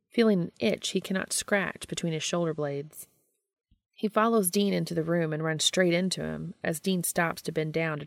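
A woman's voice: deep voice, gravelly, no echo, clean studio sound. A woman reading fan fiction aloud as scripted story narration.

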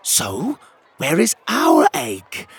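Man voicing a high-pitched, exasperated platypus mum. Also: huge pitch swings, drawn-out words, vocal fry, slightly rough, full character voice. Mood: pointed, demanding. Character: platypus mother, female, pointed and exasperated.

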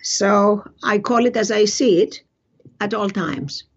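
A woman's voice: medium pitch, slow pace, slightly rough, highs cut off. A woman in her sixties from Greece with a Greek accent, speaking as an interview guest in a blunt, emphatic, self-assured conversational way. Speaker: interview guest, physician and author. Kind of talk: conversational personal storytelling.

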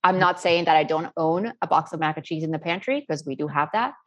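A woman's voice: sits low, a little fast, dull audio. A middle-aged woman from Spain answering interview questions in an earnest, rambling, conversational way. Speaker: interview guest, self-taught cook.

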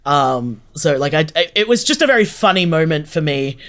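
A man's voice: fairly high pitch, lively intonation, moderate pace, a bit bright. A man giving chatty, informal answers as an interview guest. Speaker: interview guest, podcast creator. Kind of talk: casual conversational interview answers.

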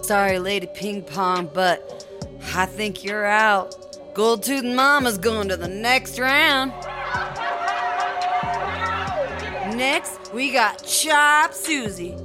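A woman's voice: mid-range voice, slow, over music, natural voice. A woman playing a decisive, showy rap battle host, making announcements in a comedy audio drama. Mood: commanding. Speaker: contest emcee.

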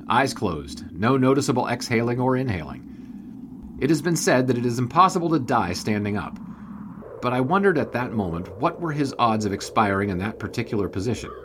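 A man plays a 1920s sports reporter with a low voice and a dry, sardonic turn of phrase. He is wordy and wry, in the manner of an audio drama narrator. Ambient noise sits behind him. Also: close to an everyday voice. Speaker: narrator and lead character.

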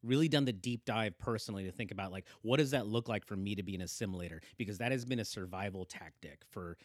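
Interview guest, male, 40s, born in the Philippines; earnest and emphatic, lower register, dry sound. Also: quick pace, no echo. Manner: earnest, emphatic, frequent fillers and repeats. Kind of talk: reflective conversational interview.

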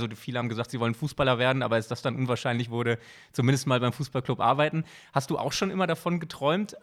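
Podcast host guy, talks quick, rambles into his questions. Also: mid-range voice, a bit bright.

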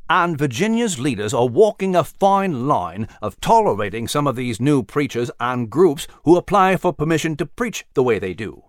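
Man voicing an animated, proper animal scholar. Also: on the high side, moderate pace, few pauses, no room sound, clean studio sound. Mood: earnest, explaining. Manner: precise, lecturing, proper. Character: Nigel, erudite animal scholar, male, explaining history. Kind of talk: audiobook storybook character voice.

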